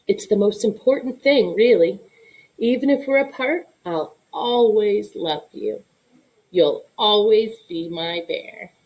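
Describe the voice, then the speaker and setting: A woman reading a storybook as a gentle, loving young soldier, tender and reassuring. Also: mid-range voice, animated, slow pace, slightly rough, full character voice, rough recording. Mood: loving, solemn. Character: gentle, loving young soldier saying goodbye to his bear.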